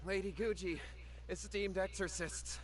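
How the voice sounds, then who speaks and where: A man's voice: sits high, fairly even intonation, a little slow, a crowd in the background. A man voicing an earnest, eager young villager in an anime game, urgent and exclaiming.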